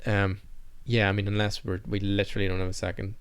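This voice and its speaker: A man's voice: fairly low pitch, level tone, fast talker, smooth. A man in his thirties co-hosting a podcast from a small office studio, chatting casually and rambling with a self-deprecating humour. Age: 30s. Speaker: podcast co-host. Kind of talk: casual chat. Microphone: studio mic on boom arm.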